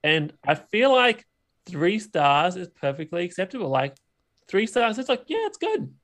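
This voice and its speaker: A man's voice: sits high, sing-song, a little slow, a bit bright. A man co-hosting a podcast, talking casually and conversationally about films. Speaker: podcast co-host. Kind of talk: casual film chat.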